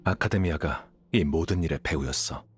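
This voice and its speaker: A man's voice: extremely low pitch, normal speed, music in the background. A man voicing a cool-headed, analytical young scholar in a Korean game dub, composed and matter-of-fact as he explains. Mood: detached, explaining. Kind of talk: Korean-dubbed anime game dialogue.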